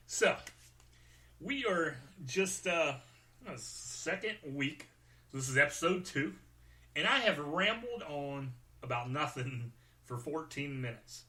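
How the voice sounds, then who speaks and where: A man's voice: medium pitch, bright, forceful tone. A man hosting a podcast alone, rambling casually and informally with joking asides. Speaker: podcast host.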